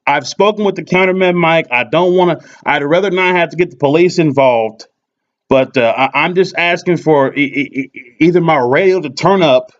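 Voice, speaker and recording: A man making a customer complaint by phone, insistent but polite, often stammering and repeating his words. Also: medium pitch. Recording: muffled lo-fi recorded phone call.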